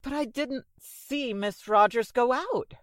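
A woman's voice: fairly high pitch, takes it slowly, dry recording. A woman playing a prim, fussy but trusting building manager in a 1940s style radio drama, polite and proper. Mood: doubtful.

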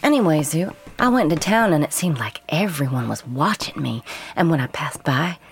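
A woman playing a reserved churchgoing woman who speaks calmly in a radio drama. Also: deep voice, normal speed. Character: reserved Southern woman studying catechism. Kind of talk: 1930s southern gothic radio drama.

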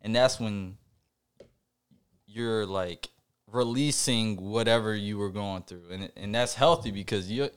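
A young man co-hosting a podcast, talking in a reflective, halting way with many fillers and restarts. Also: lowish voice, smooth. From Puerto Rican family background. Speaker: podcast co-host. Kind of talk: casual podcast chat.

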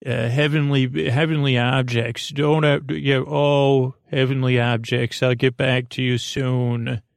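Creaky-voiced man rambling gently through a bedtime podcast. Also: medium pitch, fairly even intonation, dry recording, clean studio sound. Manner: meandering, halting, whimsical. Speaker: podcast host.

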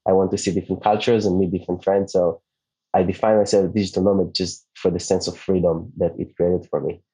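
Mellow husky Israeli-born man. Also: low voice, fast talker, low-quality recording. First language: Hebrew. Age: 30s. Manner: relaxed, restarts often, light laughs. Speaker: podcast guest, digital nomad. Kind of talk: casual interview chat.

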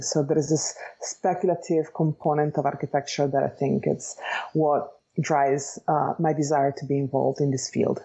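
A woman in her forties with an Italian accent, giving thoughtful, measured answers as an interview guest. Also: low voice, warm tone, slightly rough, dull audio. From Italy, Bologna. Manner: thoughtful, measured, searching for words. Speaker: architect and educator, guest.

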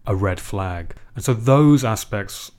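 A young man raised in England with a British accent talks through his thoughts in an interview. His voice sits very low, and he pauses, restarts and fills gaps as he reflects. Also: expressive, a little mellow. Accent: British English. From Iceland. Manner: thoughtful, halting, many fillers and restarts. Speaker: guest, composer.

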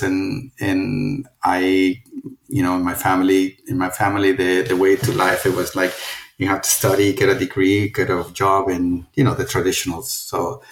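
A man's voice: sits low, gravelly, echoey room, rough recording. A middle-aged man from Mexico with a Mexican accent, a film producer talking casually in an interview and rambling through many restarts and fillers.

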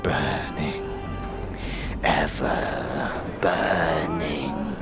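A man playing a crazed, ragged old beggar who tells cryptic tales theatrically in an audio drama, halting and repeating phrases with erratic swings. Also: rumbling low, exaggerated voice. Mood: dreamy, manic glee. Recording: music under the voice.

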